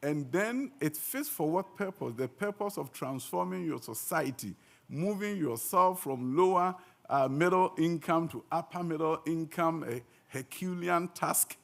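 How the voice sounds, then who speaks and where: A man's voice: fairly high pitch, expressive, unhurried, vocal fry. A man, an education minister, giving a conference speech, earnest and emphatic, with some fillers and restarts. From Ghana. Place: conference hall.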